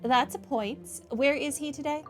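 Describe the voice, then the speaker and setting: Woman, high-ish voice, casual gaming commentary, wry, thinking aloud, soft game music behind. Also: unhurried. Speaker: gaming streamer.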